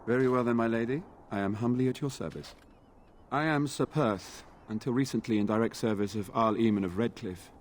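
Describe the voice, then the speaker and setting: A dutiful, earnest male knight from a fantasy video game, played by an actor. His medium-pitched voice is formal and courteous. Faint ambient noise sits behind it. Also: fairly quick, close to an everyday voice. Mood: courteous, respectful. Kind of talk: video game dialogue acting.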